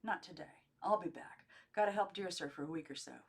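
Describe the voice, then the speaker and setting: A woman voicing a gruff, blunt young working father in an audiobook, speaking in colloquial phrases. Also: lowish voice, brisk. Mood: matter-of-fact. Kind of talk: audiobook character dialogue.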